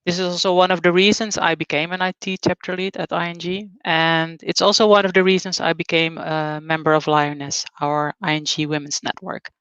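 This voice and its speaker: A woman in her forties, raised in Suriname, giving a relaxed, candid talk at an online company event. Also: lowish voice, brisk, few pauses, clean tone, no echo. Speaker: conference speaker. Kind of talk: webinar talk. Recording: dull, lo-fi sound.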